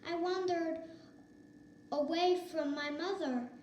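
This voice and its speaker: A woman's voice: very high voice, slow, a little mellow, slight background noise, voice pushed up. A woman voicing a frightened, helpless baby elephant in a read-aloud storybook, in an echoey room. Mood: distressed, sorry.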